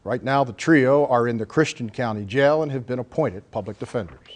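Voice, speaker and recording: An American male news anchor with a mid-pitched voice delivers a scripted local newscast. The reading is the kind heard from a studio desk on evening television. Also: brisk.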